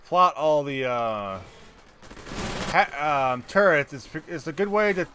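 Male gamer, highish bright voice, halting casual explanations, game gunfire faintly behind. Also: lively intonation, slight background noise. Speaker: video game player. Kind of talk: casual gameplay chat. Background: video game gunfire and ambience.

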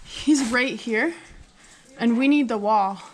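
A woman exploring a narrow rock crevice with an action camera, chatting and excited. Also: fairly high pitch, slow pace, slight background noise. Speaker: cave explorer. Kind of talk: casual chat.

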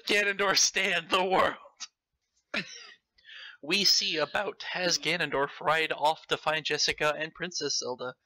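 A man's voice: fairly high pitch, fairly even intonation, a little slow, bright, forceful tone, no room sound, low-quality recording. A man reading fan fiction aloud with theatrical, mocking delivery and breaking off to comment. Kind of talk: comedic dramatic read-aloud with commentary.

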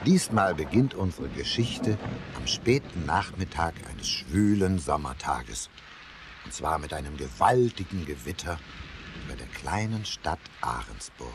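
A man's voice: very deep voice, very animated, unhurried, noisy background, exaggerated voice. A man narrating a children's ghost radio play in a suspenseful storytelling manner. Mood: inviting. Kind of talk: scripted children's audio drama narration.

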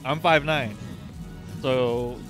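A young man in his twenties chats loosely with his stream viewers as he plays, unhurried and drawn out, laughing easily. His pitch sits right in the middle. Game music plays clearly behind his voice. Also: fairly even intonation. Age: 20s. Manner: laid-back, laughs often, reads and answers chat. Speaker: livestream gamer. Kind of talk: casual livestream chat.

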